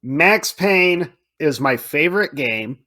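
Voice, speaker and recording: Animated, higher-voiced man swearing freely. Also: slow pace. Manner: animated, emphatic, profane. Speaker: podcast host. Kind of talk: casual film and game chat.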